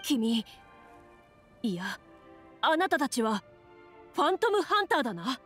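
A woman's voice: sits high, big pitch swings, unhurried, soft background music. Woman voicing an anxious, earnest young townsman. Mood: startled, relieved. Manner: worried, sighing, earnest.

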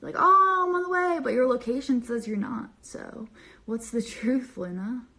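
A bubbly young woman talks into her phone from her bedroom, rambling playfully through viewer comments. Her voice sits a bit high and stays light and slangy. It is loose, unscripted livestream chatter picked up by a phone held at arm's length. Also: normal speed, pushed and bright. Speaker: social media creator on a live stream. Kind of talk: casual livestream chat reading comments. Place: bedroom, small indoor room. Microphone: phone camera at arm's length.

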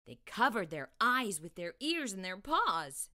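Bright, animated woman storyteller. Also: mid-range voice. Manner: expressive, deliberate delivery for young children. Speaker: children's storytime host and reader. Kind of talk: read-aloud storytelling for children. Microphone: lapel mic.

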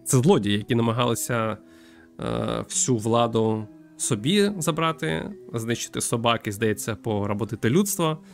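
A young man from Ukraine thinking aloud as he streams a game, with hesitant pauses and wry joking. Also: medium pitch, creaky. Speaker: streamer playing a visual novel. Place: small room at home with string lights. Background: game music.

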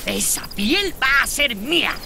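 A woman's voice: high-pitched, very animated, measured pace, gravelly, slight background noise, full character voice. A woman voicing a small, mischievous, greedy animal troublemaker who jeers and exaggerates in a children's cartoon. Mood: scheming. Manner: jeering, exaggerated. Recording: loud music behind the voice.

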